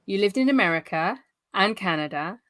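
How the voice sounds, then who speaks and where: A woman's voice: mid-range voice, expressive, brisk, fairly bright tone, no room sound, clean studio sound. A woman hosting a podcast, introducing her guest and asking encouraging questions. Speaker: podcast host. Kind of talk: interview hosting.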